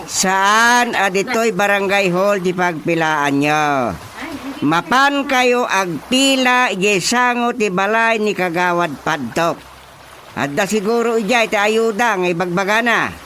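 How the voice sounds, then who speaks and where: A man's voice: high-pitched, unhurried, sharp tone, slight background noise. A man playing an exasperated, comic village chairman with an Ilocano accent in a radio comedy drama, exclaiming and muttering. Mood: incredulous, agitated.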